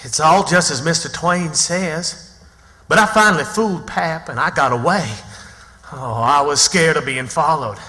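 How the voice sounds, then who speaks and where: A man's voice: on the high side, bright, forceful tone. An actor playing a plucky, plain-spoken backwoods boy in his early teens, with a rural Southern American accent and folksy grammar, in radio drama style. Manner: folksy, ungrammatical storytelling. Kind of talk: theatrical radio drama acting and narration. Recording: long hall reverb.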